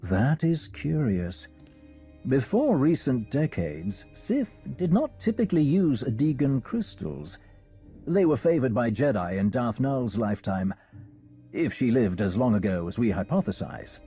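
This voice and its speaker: A man voicing a composed, learned scholar in a game, soft and slightly husky, with polite, measured formality. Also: mid-range voice, very animated, soft background music, full character voice. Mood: thoughtful. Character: courteous, learned male scholar of ancient relics, composed. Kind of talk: video game cutscene acting.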